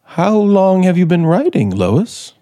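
An actor playing a brooding, manipulative scriptwriter in 1950s radio suspense style, probing deliberately with dramatic pauses. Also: male voice, high-pitched, big pitch swings, mellow, exaggerated voice. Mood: probing, wry. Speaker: actor in the lead role. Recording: 1950s radio broadcast, music behind.

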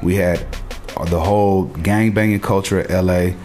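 Deep laid-back man from Portland, very low pitch, slangy casual talk, faint music underneath. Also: fairly even intonation, normal speed, a little mellow. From Portland, US West Coast. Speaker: music producer guest. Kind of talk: casual podcast interview.

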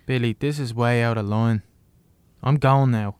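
A man's voice: medium pitch, fairly even intonation, a little slow, darkish tone, smooth, no room sound, natural voice. An actor playing a weary, wary adult man with an Irish accent, blunt and exasperated, in naturalistic audio drama. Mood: stern, exasperated.

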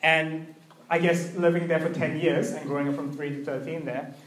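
A young man giving a conversational sermon, earnest and personal, with a gently hesitant delivery. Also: on the high side, flat delivery, normal speed, reverberant. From New Zealand and Malaysia. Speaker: preacher.

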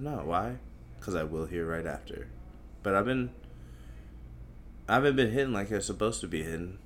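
Deep-voiced, crude rambling podcast man. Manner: crude, profane, self-mocking, meandering. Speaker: solo podcast host. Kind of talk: rambling solo podcast monologue. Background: faint noise from outside.

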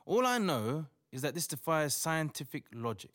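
A man playing a bewildered but courteous atheist doctor in a modern audio drama, measured and incredulous. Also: fairly high pitch, sing-song, a bit bright. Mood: won over. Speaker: actor in audio play.

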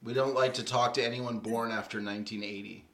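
A man's voice: mid-range voice, steady tone, a little fast, a bit bright. A man co-hosting a casual podcast chat. He speaks in an easy, dry tone and throws in mock-serious jokes. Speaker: podcast co-host.

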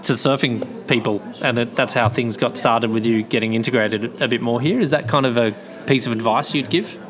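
A man's voice: mid-range voice, a little fast, crowd noise. A man from Australia, hosting a casual interview in an easygoing, thoughtful way. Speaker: podcast host, interviewer.

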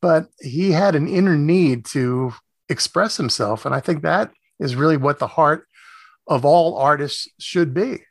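A man's voice: on the high side, unhurried, gravelly, husky, no echo, clean studio sound. A man speaking as a radio host in a conversational interview, reflective and rephrasing his thoughts as he goes.

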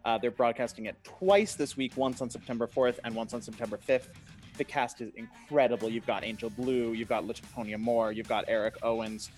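A man's voice: medium pitch, fairly even intonation, fairly quick, darkish tone, faint music behind. Enthusiastic male co-host. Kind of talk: casual chat.

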